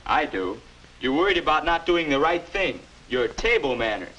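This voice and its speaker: Animated young American advisor, 1950s film. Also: male voice, a little high, normal speed, slight background noise. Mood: knowing, firm. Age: young adult. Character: confident 21-year-old future self, patient persuasive advisor. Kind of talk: 1950s educational film narration and acting. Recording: dull 1950s film soundtrack.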